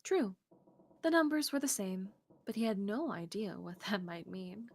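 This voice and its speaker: A woman's voice: medium pitch, very animated, moderate pace, husky. A woman streamer reading a visual novel's narration aloud, with casual asides. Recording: faint background noise.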